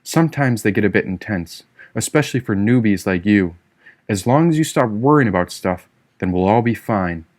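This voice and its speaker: A man's voice: lowish voice, lively intonation, normal speed, a little mellow, pitched below the performer's own voice, close to an everyday voice. A man voicing a free-spirited, teasing young woman in an audiobook, with a breezy, irreverent manner. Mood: mischievous advising.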